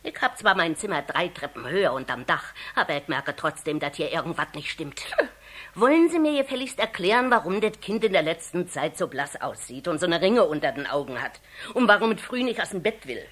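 Forceful, scolding household cook. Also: female voice, fairly low pitch, sing-song, fairly quick. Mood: stern, suspicious. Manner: blunt, combative. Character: feisty, plain-spoken fat household cook, scolding. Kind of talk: 1960s German radio play acting.

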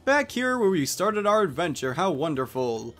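A man's voice: on the high side, very animated, moderate pace, fairly bright tone, faint music behind. A man giving casual, jokey, rambling commentary as host of a game playthrough. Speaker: let's play host.

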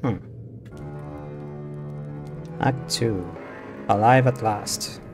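A man's voice: lowish voice, steady tone, drawn-out words. A man doing casual live gameplay commentary, muttering brief reactions to himself. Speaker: game streamer. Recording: game music behind voice.

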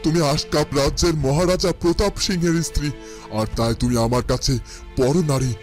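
A man playing a brooding, honourable young lover in a melodramatic fairytale cartoon, with halting, dramatic delivery. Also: a little high, natural voice. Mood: sorrowful, resolute. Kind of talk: melodramatic Bengali cartoon voice acting. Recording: music behind the voice.

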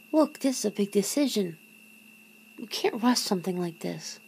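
A woman acts out a wry, guarded lover in an intimate ASMR roleplay. Her voice is mellow and breathy, with a lot of fry, often falling to a whisper. She talks casually and haltingly over noticeable background noise. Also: medium pitch, full character voice. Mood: hesitant, pondering. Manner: hesitant, sardonic, casual, sighing. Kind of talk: ASMR roleplay, half-whispered one-sided dialogue.

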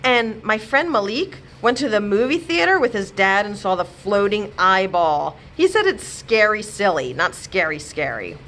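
A woman voicing an eager young child who begs to go out, pleading and excited. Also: medium pitch, moderate pace, few pauses.

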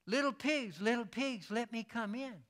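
A man voicing the big bad wolf in a storybook reading, making loud, drawn-out demands and threats. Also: very high voice, noisy background. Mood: demanding.

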